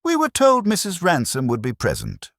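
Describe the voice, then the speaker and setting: A man's voice: fairly high pitch, huge pitch swings, moderate pace, no echo, clean studio sound, pitched above the performer's own voice. A man narrating a mystery story, voicing an officious, uneasy businessman who sounds self-important yet hesitant.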